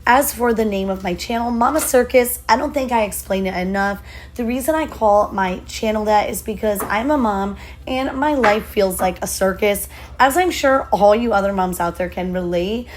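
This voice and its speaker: A woman in her thirties with a hoarse voice, chatting casually and upbeat through a vlog voiceover. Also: medium pitch, moderate pace, slight background noise. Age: 30s. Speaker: lifestyle vlogger.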